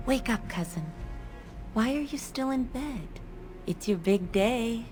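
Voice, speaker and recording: A woman's voice: on the high side, animated, slow, over music. An actress playing a cheery, teasing young female elf cousin in a video game.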